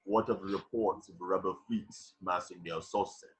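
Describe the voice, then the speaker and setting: A man playing a menacing half-machine dark lord who speaks solemnly and obediently to a master, raspy, in a table read. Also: fairly even intonation, moderate pace, poor audio. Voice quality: very deep, raspy.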